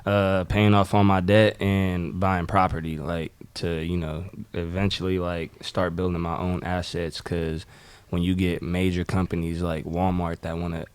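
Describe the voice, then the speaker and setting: A man's voice: low-pitched, level tone, a little slow, few pauses, clear, resonant tone, dry recording. A man from Southern California, a guest in a casual podcast chat, laid-back and matter-of-fact. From San Bernardino, California.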